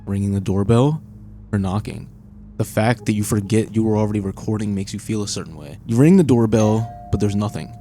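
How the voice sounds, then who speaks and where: A man's voice: lowish voice, brisk, warm tone, faint music behind. A man narrating a scripted video essay in a suspenseful storytelling manner. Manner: suspenseful second-person storytelling.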